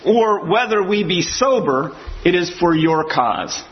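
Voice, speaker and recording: A man's voice: high voice, expressive, unhurried, muffled recording. A man preaching an expository sermon. He reads scripture aloud and then explains it verse by verse.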